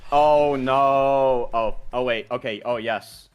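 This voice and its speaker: A man's voice: medium pitch, fairly even intonation, slow pace, clear, resonant tone, muffled recording, soft background music. A young man streaming a video game, chatting in a casual, slangy, sweary way. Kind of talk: casual game-stream chat with read-aloud.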